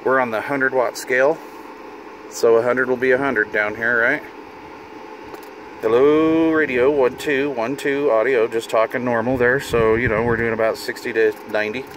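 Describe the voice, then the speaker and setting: A middle-aged man with an average-pitched voice gives an informal hands-on demo, sometimes muttering to himself as he works. He is recorded inside a vehicle, with clear air and car noise behind him. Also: steady tone, normal speed, a bit bright, poor audio. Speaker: hobbyist video host demonstrating radio gear. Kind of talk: casual hands-on demonstration. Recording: noticeable car and fan noise.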